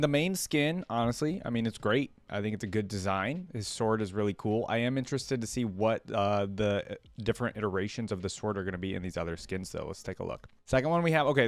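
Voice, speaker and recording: A man's voice: lowish voice, quick pace, hardly any pauses, dry recording. Man rambling casually, close and dry. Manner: rambling, thinking aloud. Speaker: YouTube reaction host. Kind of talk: casual reaction commentary.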